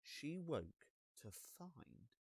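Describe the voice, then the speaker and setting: A man reading a children's storybook as an expressive narrator, with deliberate dramatic pauses and drawn-out words. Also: on the high side, slightly rough, dry recording.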